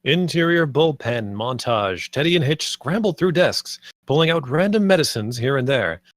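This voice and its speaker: A man's voice: medium pitch, animated, moderate pace, no echo, clean studio sound. A man narrating the stage directions and scene headings of a screenplay at a table read.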